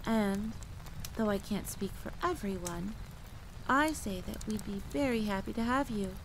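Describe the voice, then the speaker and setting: A woman playing a kind, motherly field medic who comforts a child gently and reassuringly in an audio drama. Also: mid-range voice, unhurried, low-quality recording. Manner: gentle, reassuring, sweetly encouraging. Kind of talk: acted audio drama storytelling. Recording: steady rain noise behind the voice.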